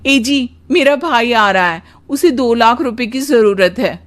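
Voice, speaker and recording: Lady doing a naggy cartoon wife, really high shrill voice, pushy n wheedling. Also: expressive, unhurried. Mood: coaxing. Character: demanding, coaxing young wife, shrill and nagging.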